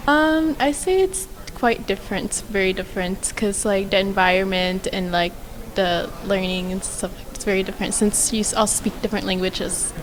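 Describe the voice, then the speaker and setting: A woman's voice: mid-range voice, moderate pace. Woman interviewee, filler-heavy, outdoor noise. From Philippines. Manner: searching for words, many fillers. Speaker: student interviewee. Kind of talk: casual street interview.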